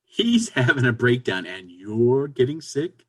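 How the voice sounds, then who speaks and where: Male actor as brisk, pushy businessman pal for stage comedy; husky voice, wildly swooping intonation, exasperated. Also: medium pitch, big pitch swings. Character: brisk, pragmatic businessman friend, impatient but encouraging. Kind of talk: stage comedy readers theater.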